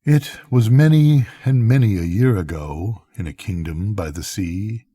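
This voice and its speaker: Older grandpa type guy reading poems at bedtime, mellow kinda raspy voice, takes it real slow and solemn. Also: lowish voice, no room sound, clean studio sound. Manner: measured, solemn, with pauses between phrases. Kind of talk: slow dramatic poetry reading.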